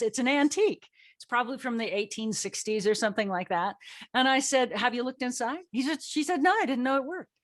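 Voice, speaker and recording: A middle-aged or older woman who writes plays, hosting a casual group chat and telling stories in an animated, self-joking way with acted-out dialogue. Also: on the high side. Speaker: playwright and event host.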